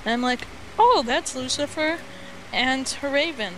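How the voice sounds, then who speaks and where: A woman with a high voice tells a story off the cuff in a casual chat. The delivery is halting and rambling, slipping into quoted dialogue. It sounds like a noisy amateur voice chat. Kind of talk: casual improvised storytelling over a game chat.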